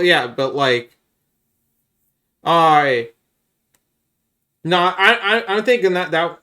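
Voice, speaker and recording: A man's voice: medium pitch, fairly bright tone. A man co-hosting a podcast in casual chat, making his points in an emphatic, explanatory way. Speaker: podcast co-host.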